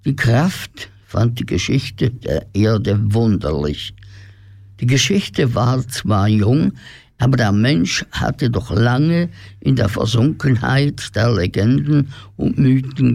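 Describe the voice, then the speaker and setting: Gravelly male storyteller, dry sound. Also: medium pitch, darkish tone, dry recording. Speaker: narrator of a radio play. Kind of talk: scripted fairy-tale storytelling.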